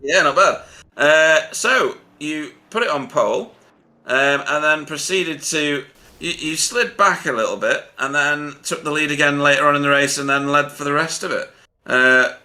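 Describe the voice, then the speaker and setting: A man working as a sim racing commentator, chatting casually and haltingly as he interviews drivers over online voice chat. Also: fairly high pitch, pushed and bright. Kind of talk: casual post-race interview.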